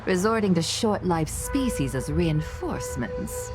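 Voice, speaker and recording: An actress playing a menacing, condescending cult healer in a video game, with a haughty, taunting delivery. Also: female voice, lowish voice, very animated, unhurried. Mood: mocking. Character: sinister disciple of a healing cult, menacing, condescending woman. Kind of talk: video game villain acting. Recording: loud music behind the voice. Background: loud game music.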